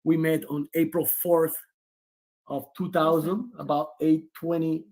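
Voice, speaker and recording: A man's voice: fairly high pitch, flat delivery, measured pace, darkish tone. A man in his forties, a guest on a remote video call who talks at length with many restarts. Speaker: guest, player's father. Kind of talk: casual interview.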